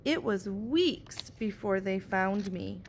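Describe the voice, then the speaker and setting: A woman, a teacher, reading a picture book aloud and pausing to point out what the pictures show. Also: medium pitch, expressive, a little hiss or hum. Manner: gentle, slow storybook delivery with pauses.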